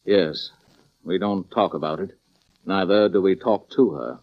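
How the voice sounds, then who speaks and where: An actor playing a slick, theatrical, quick-tempered male musician in 1940s radio style, suave and mannered. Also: deep voice. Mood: guarded, polite. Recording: muffled vintage radio recording.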